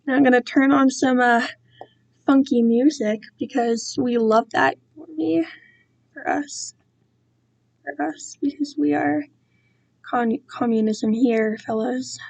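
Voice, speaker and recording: A woman's voice: high voice, fairly even intonation, takes it slowly, creaky, pauses often, a little mellow, no echo. A woman chatting casually to viewers on a live stream, rambling and self-deprecating, easily drifting off track. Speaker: streamer reading own fan-written work to viewers.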